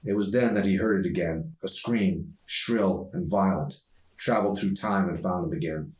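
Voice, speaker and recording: A man reading his own novel aloud in an expressive storytelling style, recorded in an echoey room. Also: sits low, moderate pace. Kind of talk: expressive audiobook-style narration. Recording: echoey room, distant from mic.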